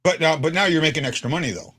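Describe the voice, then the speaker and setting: A man with a deep voice hosting a casual fan podcast, rambling with stumbling restarts. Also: very fast, a bit bright, slightly rough. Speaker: podcast host.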